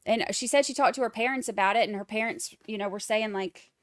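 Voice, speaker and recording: Woman, Boston accent, livestream host, chatty and wry, medium pitch, dry close mic. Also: quick pace, no room sound. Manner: chatty, wry, rambling asides. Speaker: livestream trial commentator. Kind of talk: casual live chat, reading viewer comments.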